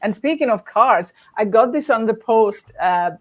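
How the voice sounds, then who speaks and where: A woman's voice: on the high side, animated, a little slow. Woman guest, chatty. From Hungary. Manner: warm, chatty, laughs between words. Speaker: guest, collage artist. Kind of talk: casual interview talk.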